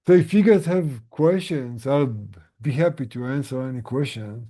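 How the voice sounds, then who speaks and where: A man hosting a casual online group session as a coach, explaining things in a gentle manner. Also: medium pitch, moderate pace. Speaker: host and tinnitus relief coach.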